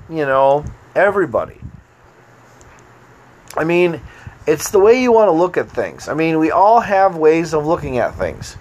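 A man's voice: on the high side, slight background noise, low-quality recording. Easygoing American man rambling casually. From United States. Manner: meandering, easygoing, frequent fillers. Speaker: podcast host.

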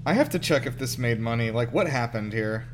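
A man's voice: mid-range voice, lively intonation, fairly quick, a little hiss or hum. A man reacting casually to a film in an incredulous, questioning manner. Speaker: host of a movie reaction show. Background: film soundtrack playing behind.

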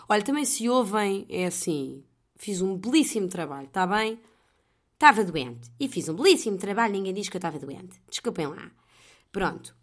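A woman with a European Portuguese accent hosting a podcast, telling stories in a chatty, animated way. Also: lowish voice. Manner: chatty, animated storytelling with laughs. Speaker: podcast host; voice-over artist. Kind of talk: casual chat.

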